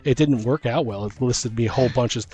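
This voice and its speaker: A man in a casual chat about tabletop gaming as co-host and game master, rambling and halting as he thinks aloud. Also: mid-range voice, mellow. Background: background music.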